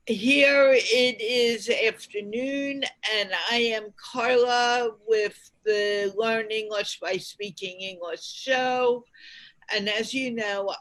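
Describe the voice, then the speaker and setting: A woman hosting a show, asking encouraging questions in a deliberate way on a video call. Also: fairly high pitch, fairly bright tone, rough recording. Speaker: host.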